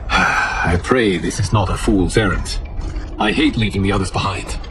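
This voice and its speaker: An actor playing a noble, burdened god and protector in a video game, speaking gravely and formally. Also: male voice, low-pitched, steady tone, measured pace, noisy background. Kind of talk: video game cinematic acting. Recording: music behind the voice. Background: music.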